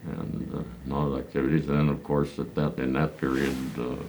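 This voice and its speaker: A man in his nineties from Pennsylvania, recalling his church childhood in slow, halting answers full of hesitant fillers. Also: a bass voice, level tone, a little mellow, slight background noise. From Pennsylvania, United States. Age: 90s. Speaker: elder congregant interviewee. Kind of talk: reminiscing interview answers.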